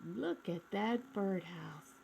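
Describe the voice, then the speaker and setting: A woman reading aloud to young children in an expressive storytime style, addressing her listeners directly. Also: medium pitch, slow pace, creaky, slight background noise, poor audio.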